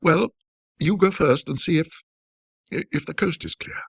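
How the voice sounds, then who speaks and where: A man voicing a frightened, haunted man in a ghost story, agitated and halting as he confesses a terrible secret. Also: fairly high pitch, creaky, breathy edge, dry recording, phone-quality sound, pitched above the performer's own voice, full character voice. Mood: nervous, guarded. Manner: agitated, hesitant, halting pleas.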